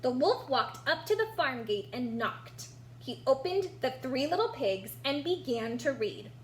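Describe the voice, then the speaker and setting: A woman's voice: high voice, big pitch swings, measured pace, low-quality recording. A woman reading a children's storybook aloud expressively, narrating for young listeners. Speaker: storybook reader. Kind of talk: animated children's read-aloud with picture commentary. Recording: noticeable background hum.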